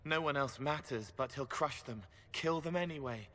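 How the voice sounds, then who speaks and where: An actor playing a strange, gentle young man in a video game, speaking earnestly in halting, childlike phrases. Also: medium pitch, close to an everyday voice. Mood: troubled earnestness. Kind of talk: dramatic video game acting. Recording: long hall reverb, background ambience noise.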